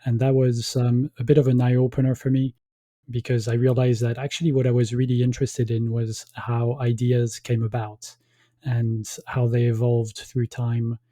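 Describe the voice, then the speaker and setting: Dark, mellow-voiced French-speaking academic man. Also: mid-range voice, monotone, no room sound. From grew up in Belgium, born in France. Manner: measured, thoughtful, with frequent "um" pauses. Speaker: guest academic. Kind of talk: conversational interview answers.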